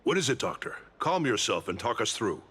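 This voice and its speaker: A man playing a grave, seasoned advisor in a fantasy game, husky, formal and authoritative. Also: mid-range voice, big pitch swings, a little fast, fairly bright tone. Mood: concerned, steady. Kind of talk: dramatic fantasy game voice acting.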